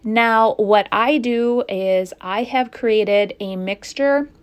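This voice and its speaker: A woman giving casual step-by-step painting tutorial narration, haltingly thinking aloud as she goes. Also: on the high side, clear, resonant tone. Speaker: craft tutorial host.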